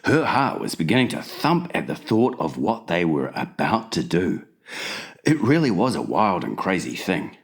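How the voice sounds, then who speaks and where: A man's voice: low voice, sing-song, normal speed, gravelly. A man narrating a children's storybook as a dramatized, scripted audiobook reading.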